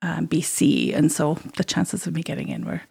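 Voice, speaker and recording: A woman from Zimbabwe, a midwife, speaks in a somewhat low voice with a gravelly, fry-tinged edge. She talks casually and thoughtfully, wandering through her answers with small restarts and soft laughs. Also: fairly even intonation, normal speed. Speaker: midwife, interview guest. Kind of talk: casual conversational interview.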